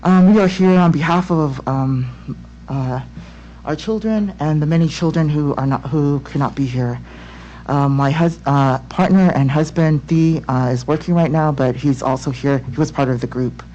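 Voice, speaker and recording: A woman from Tonga speaking as a parent in public comment at a school board meeting, earnest and halting, with many restarts. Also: low-pitched, dark tone, highs cut off, a little hiss or hum. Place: home room on a video call. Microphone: laptop or webcam mic on video call.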